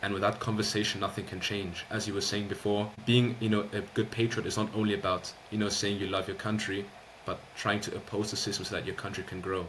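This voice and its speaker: A man's voice: lowish voice, level tone, a bit bright, slight background noise, low-quality recording. A man hosting a podcast, talking earnestly in a casual discussion and often saying "you know.". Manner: quick, earnest, many fillers of "you know". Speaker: podcast host.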